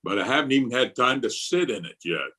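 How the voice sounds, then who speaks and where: Middle-aged blustering school principal for radio comedy male actor: pompous, exasperated, very animated intonation. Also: mid-range voice, fast talker, breathy edge. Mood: mournful, melodramatic. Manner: pompous, indignant, theatrical. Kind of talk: old-time radio comedy acting. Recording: remote video-call recording.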